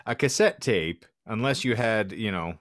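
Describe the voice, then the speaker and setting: A man's voice: on the low side, expressive, measured pace, a bit bright, dry recording, studio quality. A man hosting a podcast with wry, sarcastic asides, bantering casually and reading bits aloud. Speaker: podcast host.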